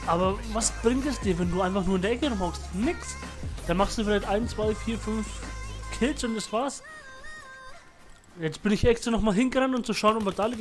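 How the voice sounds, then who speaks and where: A young man with a stuffy, congested nose from a cold talks loosely over game footage, dropping fillers and slang as he hosts his channel. Loud music runs under his voice the whole time. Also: high voice. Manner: laid-back slangy chat with many fillers. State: has a cold, blocked nose. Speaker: gaming channel host. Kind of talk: casual gameplay commentary.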